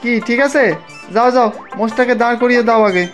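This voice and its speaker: A woman's voice: on the high side, steady tone, fairly quick, soft background music, close to an everyday voice. A woman voicing a humble, folksy village tea-seller uncle in a cartoon, given to exclamations. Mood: anxious, resolving. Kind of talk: animated cartoon dialogue.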